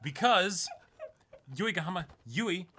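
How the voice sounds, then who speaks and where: Young male co-host, slightly high, bright and forceful, informal chatter, faint background noise. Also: expressive, slow. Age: young adult. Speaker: co-host of an unboxing show. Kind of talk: casual chat. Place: indoor room, seated before a backdrop.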